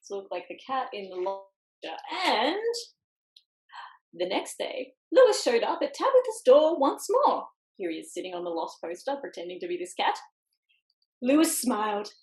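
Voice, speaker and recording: A woman reading a picture book aloud to children over a video call, expressively, with dramatic pauses and little asides. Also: a little high, a little mellow. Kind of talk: animated children's storytime reading with quiet asides. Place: indoors, online video call in an echoey room. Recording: roomy echo on video call.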